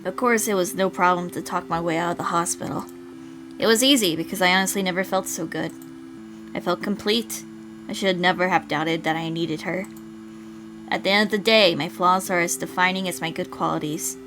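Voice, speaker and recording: A woman's voice: fairly low pitch, fairly even intonation, fairly quick, noisy background, lightly acted. A woman reading as a brooding, dependent young man narrating a visual novel, introspective and earnest. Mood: resolved, introspective.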